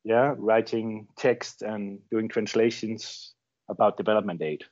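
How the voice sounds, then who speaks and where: A man's voice: on the low side, steady tone, a little slow, darkish tone, dry recording. Middle-aged Danish man, journalist guest, searching and repeating words, dry and muffled audio. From Denmark. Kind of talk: interview conversation.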